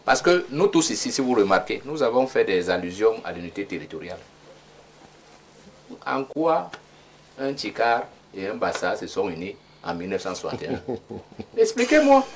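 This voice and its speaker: A man's voice: fairly low pitch, sing-song, moderate pace, slight background noise. A man arguing on a studio debate panel and firing off rhetorical questions. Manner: argumentative, fires off rhetorical questions.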